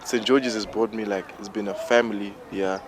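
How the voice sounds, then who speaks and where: A man's voice: lowish voice, steady tone, moderate pace. A man in his twenties with a Zimbabwean accent, giving a casual interview account as an athlete. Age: 20s. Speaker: triple jumper, former student. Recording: crowd and outdoor noise behind.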